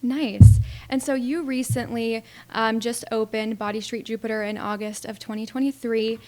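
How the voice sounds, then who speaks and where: A woman's voice: fairly high pitch, steady tone, normal speed, mellow. Upbeat woman hosting a podcast. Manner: upbeat, short affirmations. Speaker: podcast host. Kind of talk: podcast interview.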